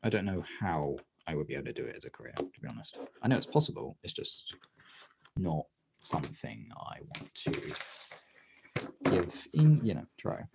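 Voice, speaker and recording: A low-pitched livestreamer chats casually while busy drawing, with lots of creak in the voice. The delivery is lively in pitch, rambling and self-deprecating, as if thinking aloud. There is faint noise and some clicking in the recording. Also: moderate pace. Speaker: streamer.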